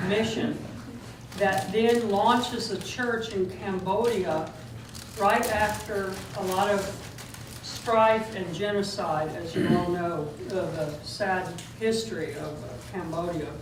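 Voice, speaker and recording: Woman introducing a speaker in echoey hall. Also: medium pitch. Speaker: event host introducing the guest. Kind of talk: formal introduction. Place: lecture room. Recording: very echoey room, distant mic, noisy.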